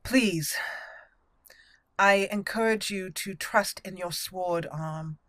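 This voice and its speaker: A woman voicing an earnest elf mage leader in a fantasy game roleplay, formal and measured. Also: medium pitch, a bit bright, dry recording, clean studio sound. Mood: encouraging, resolute.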